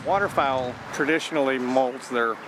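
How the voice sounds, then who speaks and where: A man's voice: mid-range voice, moderate pace. A man in his sixties explaining things as a wildlife biologist in an outdoor interview. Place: outdoors in a park. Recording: loud outdoor background noise.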